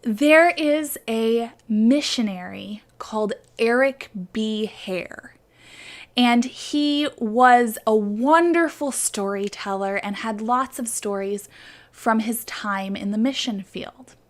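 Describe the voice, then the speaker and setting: A woman's voice: fairly high pitch, slow pace. A woman presenting a children's story segment, explaining things simply and step by step as a show-and-tell.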